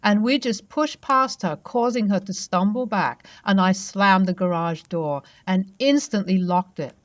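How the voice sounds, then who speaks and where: A woman narrating true ghost stories in a soft, conversational way. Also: mid-range voice, measured pace, dry recording. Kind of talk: storytelling narration.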